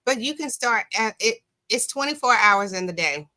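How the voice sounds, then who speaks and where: A woman chatting casually and motivationally about faith, animated, repeating phrases for emphasis and acting out her own self-talk. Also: a little high, moderate pace. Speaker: talk show speaker on faith.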